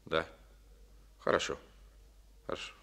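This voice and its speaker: An actor playing a calm, reserved former colonel now a party secretary, speaking tersely in an old radio play. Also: male voice, a little slow. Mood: businesslike. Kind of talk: Soviet radio play acting. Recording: old studio radio recording.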